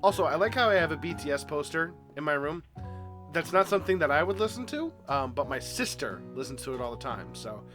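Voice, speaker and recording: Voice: mid-range voice, expressive, fairly quick, music in the background. A let's-play host who sounds stuffed up from a cold, chatting casually through a game on a headset mic at home. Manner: chatty, reads on-screen text aloud with asides. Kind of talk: casual gameplay commentary. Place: home gaming setup.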